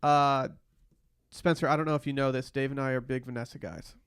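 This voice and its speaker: A man's voice: medium pitch, steady tone, a little fast, smooth. A man co-hosting a podcast, talking casually as he recaps a reality show. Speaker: podcast co-host.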